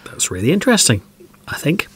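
A man's voice: a little high, wildly swooping pitch, fairly quick, a bit bright, husky, no room sound, whispered, full character voice. A man playing a polite, chatty, slightly bemused podcast host in an audio drama.

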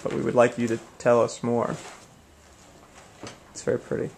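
A man's voice: mid-range voice, completely flat delivery, moderate pace, vocal fry, darkish tone, a little hiss or hum. A man interviewing casually from off camera, inquisitive and a little hesitant. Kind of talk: casual improvised questioning. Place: indoors, off camera.

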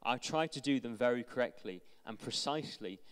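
A man's voice: mid-range voice, expressive, brisk, clear, resonant tone. Young man reading in a reverberant church. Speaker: church member reading a friend's testimony. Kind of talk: reading a testimony aloud. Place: large church hall with stage. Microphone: handheld mic. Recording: long hall reverb.

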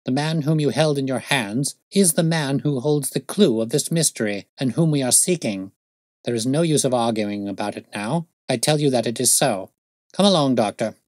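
A man voicing a brilliant, impatient gentleman detective in an audiobook story, speaking in a brisk, commanding, articulate way. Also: medium pitch, dry recording, voice pushed up, close to an everyday voice. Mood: scornful.

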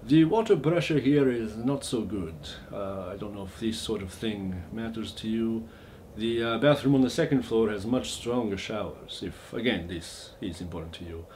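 A man playing a genteel vampire host with a mock Eastern European accent in a comic monologue, halting and overly polite, with awkward deadpan asides. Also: lowish voice, a little hiss or hum, lightly acted.

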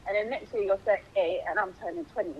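A woman's voice: medium pitch, fast talker, slight background noise. A young woman on a video call, chatting casually and fumbling over numbers. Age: young adult. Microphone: phone video call. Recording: dull phone video call audio.

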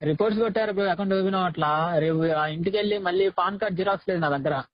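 A man's voice: high voice, very fast, no room sound. A young man chatting informally and in slang on a recorded phone call. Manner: informal, slangy, says "bro" often. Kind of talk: casual phone conversation.

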